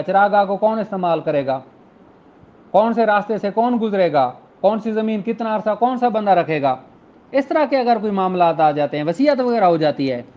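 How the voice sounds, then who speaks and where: High-pitched Pakistani man lecturing. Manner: didactic, explains with everyday examples. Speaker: law lecturer. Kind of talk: explanatory law lecture, reading out and explaining. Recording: dull, muffled lo-fi audio.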